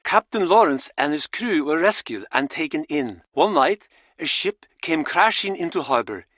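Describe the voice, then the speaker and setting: A solemn male storyteller from an adventure game, recounts an old legend in measured, dramatic phrases. His voice sits somewhat high for a man. The recording is dry and close. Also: lively intonation, fairly bright tone, no echo.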